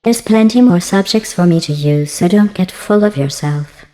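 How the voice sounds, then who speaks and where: A woman voicing a passive-aggressive female artificial intelligence in a video game, deadpan and mock-sweet, with synthetic robotic processing. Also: fairly low pitch, moderate pace, in a large hall, low-quality recording. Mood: condescending. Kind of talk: scripted video game dialogue.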